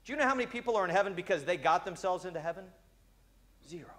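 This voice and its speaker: High-voiced man preaching with uh fillers. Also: animated, rapid-fire, a bit bright. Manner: conversational preaching with frequent "uh" fillers; gestures broadly while walking about. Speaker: priest. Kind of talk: sermon. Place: large church sanctuary.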